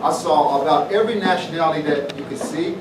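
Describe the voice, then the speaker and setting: Middle-aged man, plainspoken, repeats himself, fairly high voice, roomy event sound. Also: quick pace. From southwest Virginia. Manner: folksy, plainspoken, repeats phrases. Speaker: former pro football player announcing a festival. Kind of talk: informal public speech. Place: evening community event before an audience. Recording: roomy, distant mic, noisy event.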